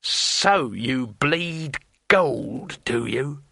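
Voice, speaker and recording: A man's voice: medium pitch, moderate pace, pushed and bright, dry recording, studio quality, close to an everyday voice. A man voicing a cold, hateful thief and swordsman in a storybook reading, with a sneering, hissing menace. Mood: hissing contempt.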